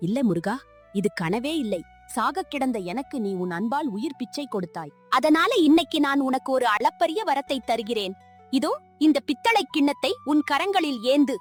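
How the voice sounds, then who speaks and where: A woman voicing a majestic, divine silver peacock spirit that speaks solemnly and formally in an animated moral tale. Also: sits high, normal speed, soft background music. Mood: solemn, grateful. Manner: solemn, formal, grand pronouncements. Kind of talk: animated moral story acting.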